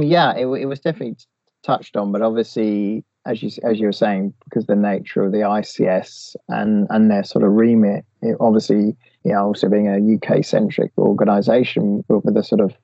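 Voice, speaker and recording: A man's voice: on the low side, level tone, moderate pace, creaky, mellow, no echo, highs cut off. A man answering interview questions, hesitant, with many restarts and fillers. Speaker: guest expert.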